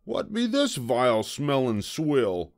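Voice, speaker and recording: A man voicing a loud, gruff, blustering pirate captain from a storybook, with theatrical hollering. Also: medium pitch, wildly swooping pitch, slow, voice pushed up, heavily acted. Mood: indignant, alarmed. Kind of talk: storybook character voice.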